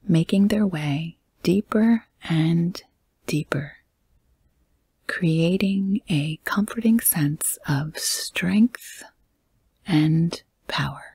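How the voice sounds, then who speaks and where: A woman with a very breathy, almost whispered voice leads a guided meditation. Her pitch is low and her delivery is slow and gentle, with calm pauses between short phrases. The sound is close and dry. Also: dry recording.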